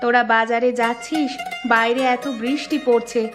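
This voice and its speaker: A high, homely cartoon mother from a village tale acted by a woman. She chides and looks after her household in a fussing, caring manner. Music plays clearly behind her. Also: steady tone, normal speed, natural voice. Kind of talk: cartoon dialogue acting.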